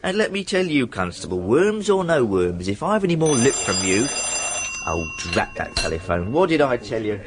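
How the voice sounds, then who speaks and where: An actor playing a bossy, grumbling police sergeant with a working-class English accent in a radio drama. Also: male voice, mid-range voice, sing-song, moderate pace, background noise, close to an everyday voice. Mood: indignant, pompous. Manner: officious, grumbling, colloquial.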